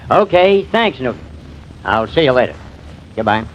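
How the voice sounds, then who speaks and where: An actor playing a shrewd, folksy, needling police detective in a vintage radio drama, sly and pressing. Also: male voice, mid-range voice, big pitch swings, moderate pace, lightly acted. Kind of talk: 1950s radio drama acting. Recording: muffled, noisy old radio recording.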